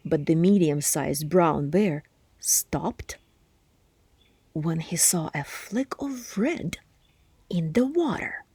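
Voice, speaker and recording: A woman narrating a children's story aloud expressively, with deliberate phrasing and hushed, breathy word endings. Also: sits low, animated, dry recording. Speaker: storybook reader.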